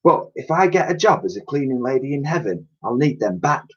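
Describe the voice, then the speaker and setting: Storybook role: big-hearted, dim cleaning lady, played by a man, chummy, raised husky voice, slightly dull recording. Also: mid-range voice, sing-song, a little fast, a bit bright, voice pushed up. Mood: touched, earnest. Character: daft, kindly, heavyset woman, adult, a cleaning lady.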